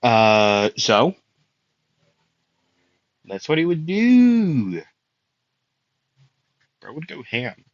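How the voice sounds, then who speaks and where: Young man, slow rambling narration, slightly worn voice, dry dull recording. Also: mid-range voice, huge pitch swings, no echo. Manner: rambling, laughs often, many fillers. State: losing his voice. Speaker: fan-fiction storyteller. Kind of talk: casual spoken fan story narration.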